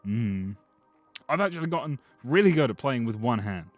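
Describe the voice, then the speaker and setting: A man with a slightly hoarse voice, rambling and joking freely as he chats through a livestream. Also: medium pitch, lively intonation, normal speed, soft background music. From Britain. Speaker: streamer. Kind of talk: casual livestream commentary.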